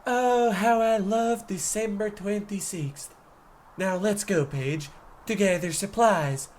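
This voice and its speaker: A pompous medieval monarch in a radio play parody. He declaims slowly and theatrically, very pleased with himself and careless of those beneath him. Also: high-pitched, darkish tone, a little hiss or hum. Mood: self-satisfied. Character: pompous, self-admiring, callous medieval king. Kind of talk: parody of old radio drama.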